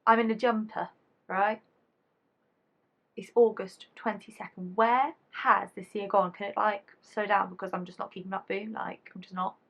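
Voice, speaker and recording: A young woman in her twenties, chatting casually to camera as a YouTuber, her voice deeper than usual after being ill. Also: steady tone, moderate pace, gravelly. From England. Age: 20s. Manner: chatty, rambling, casual slang.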